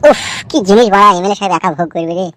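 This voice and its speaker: A man's voice: high voice, racing, fairly bright tone, dry recording. A man voicing an eager, fawning henchman of a village politician in a Bengali cartoon, with a rural West Bengal accent. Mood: gossiping, envious. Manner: eager, advising, obsequious. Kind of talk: comic cartoon dialogue.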